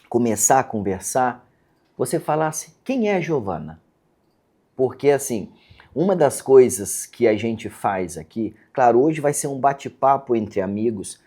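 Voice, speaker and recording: Brazilian guy hosting a studio podcast, mellow voice, talks earnest and checks in a lot. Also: medium pitch. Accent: Brazilian Portuguese. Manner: earnest, hesitant restarts, frequent "tá?" tags. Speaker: podcast host, neurosurgeon. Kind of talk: casual interview chat.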